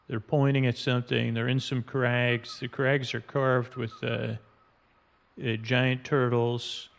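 A man hosting a podcast, talking in a halting, meandering, unscripted way as he describes things in front of him. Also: mid-range voice, gravelly. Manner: halting, meandering, spells out odd words. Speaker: podcast host. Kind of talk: rambling, unscripted narration describing game maps and artwork. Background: music bed and sound effects.

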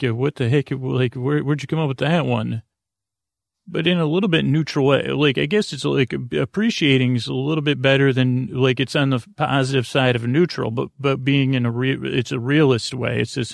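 A man's voice: medium pitch, vocal fry, dry recording, studio quality. A man hosting a sleep podcast, rambling through a meandering bedtime monologue with many restarts and self-interruptions.

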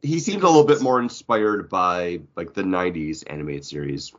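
A man's voice: sits low, expressive, normal speed, muffled recording. A man co-hosting a podcast with casual banter, given to joking mock outrage and exaggeration. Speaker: podcast co-host.

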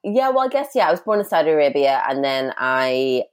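A woman from Sudan, a podcast guest, talking in a lively, laughing way. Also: low voice, a little fast, clean tone. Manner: laughs often, animated storytelling. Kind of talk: conversational interview.

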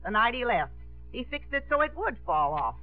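An actress playing a prickly, plain-spoken, folksy small-town housekeeper in a 1950s radio drama. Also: female voice, fairly high pitch, sing-song, normal speed, faint music behind. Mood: grudging, indignant. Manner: folksy, ungrammatical. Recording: muffled, narrow-band 1950s radio broadcast.

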